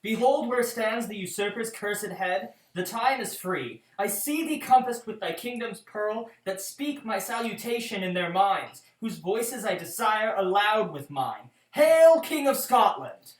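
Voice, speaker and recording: A man's voice: high voice, animated, measured pace, few pauses, low-quality recording. A young man playing a vengeful, righteous warrior nobleman in a Shakespearean radio play, declaiming in verse. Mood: triumphant. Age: young adult. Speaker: student actor.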